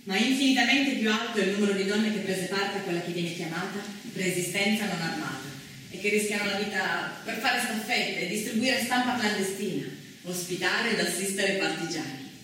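An actress plays an earnest female chronicler in a stage drama. Her medium-pitched voice is emphatic and declamatory. It is heard from afar through strong echo and noise. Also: normal speed, fairly bright tone, close to an everyday voice. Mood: grave, factual. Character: earnest female chronicler of women's wartime history. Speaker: stage actress in a two-woman theatre play. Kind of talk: theatrical scripted narration. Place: theatre stage, recorded from a distance. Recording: very echoey stage, distant, noisy.

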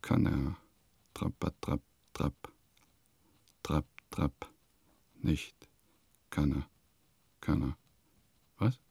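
Slow, creaky, pompous composer. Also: male voice, rumbling low, darkish tone, dry recording, exaggerated voice. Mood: drowsy, trance-like. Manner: pompous, musing, theatrical. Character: vain, eccentric male composer musing in his diary. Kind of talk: German radio play monologue.

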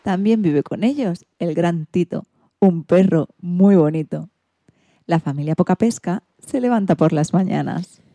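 A woman's voice: on the low side, a little slow, dark tone, no echo. A woman from presenting and narrating a scripted radio story for children.

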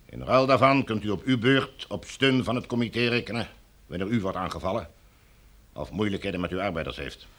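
Bright-voiced, domineering commander. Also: male voice, on the low side, fairly quick. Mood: calculating, authoritative. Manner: formal, bureaucratic, firm. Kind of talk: Dutch radio drama acting.

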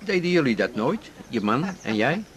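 A man's voice: mid-range voice, sing-song, normal speed, natural voice. An actor playing an amiable, inquisitive male companion in a naturalistic radio drama. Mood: companionable.

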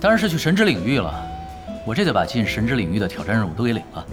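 A man's voice: on the low side, very fast. A man playing a laid-back young esports pro in an audio drama, explaining like an expert and teasing in a nonchalant way. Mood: relaxed. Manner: relaxed, nonchalant, analytical. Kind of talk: audio drama acting, conversational. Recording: music behind the voice.

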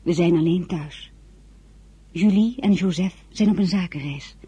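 A woman playing an earnest, lovesick French teenage girl in a radio drama, with a husky, breathy voice. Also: lowish voice, unhurried, mellow, no echo. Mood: wistful. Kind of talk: radio drama acting with diary narration.

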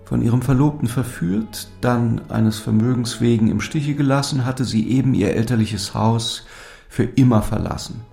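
An actor playing a lovesick, despairing young lord who speaks in earnest, solemn, literary German drama style. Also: male voice, lowish voice, gravelly, warm tone. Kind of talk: German audio drama acting. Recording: music under the voice.

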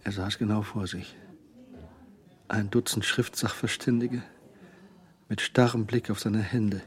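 An actor narrating a crime radio drama in a measured, brooding manner. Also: male voice, lowish voice, steady tone, lightly acted. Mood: tense, brooding.

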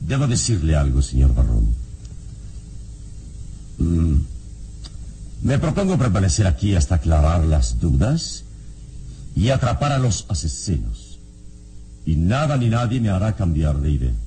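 An actor playing a grave, firm investigating hero in an old radio drama, speaking deliberately. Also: male voice, low voice, very animated, dark tone, a little hiss or hum, full character voice, low-quality recording. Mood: resolute. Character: solemn, unshakeable male hero investigating murders.

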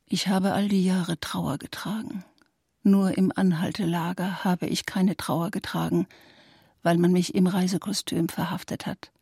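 An actress playing a bitter, fanatical Nazi widow in mourning in a radio play, grim and venomous, often dropping to a breathy whisper. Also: female voice, lowish voice, little pitch movement, measured pace, warm tone, dry recording, studio quality, exaggerated voice. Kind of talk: German literary radio play.

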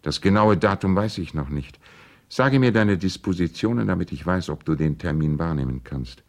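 Radio drama role: courteous, persuasive attorney, very low bass voice, earnest letter-reading. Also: male voice, lively intonation, normal speed, darkish tone. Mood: coaxing, friendly. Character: urbane, persuasive male lawyer and family friend. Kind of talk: radio play, letters read aloud in character.

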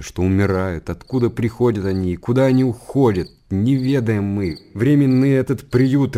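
An actor playing a sorrowful, contemplative narrator in a radio drama, speaking in a measured, declamatory way. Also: male voice, lowish voice, dark tone, lightly acted. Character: sorrowful male narrator musing on life's impermanence.

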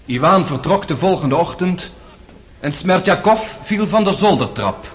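Earnest, higher-voiced devoted young son. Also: male voice, animated, a little slow. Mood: earnest, defensive. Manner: earnest, plain, polite. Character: earnest, devout, loyal young son and brother. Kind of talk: radio drama acting.

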